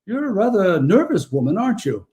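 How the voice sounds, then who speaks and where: Sprightly old Santa gentleman, acted by a man, high-pitched, lively swings, courteous, chatty, vintage radio drama. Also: a little fast. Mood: gently teasing. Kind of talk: 1940s radio acting.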